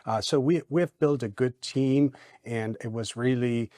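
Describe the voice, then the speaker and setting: German-accented man, halting speech. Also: medium pitch, dark tone, no room sound, clean studio sound. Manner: halting, many fillers and restarts. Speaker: guest attorney. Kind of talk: studio interview. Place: TV studio.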